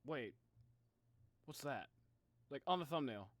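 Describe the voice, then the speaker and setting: A man with a mid-pitched voice talks as a live game streamer. He is informal and halting, addressing viewers and muttering to himself, in a dry, close recording. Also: expressive, dry recording. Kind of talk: casual livestream chat.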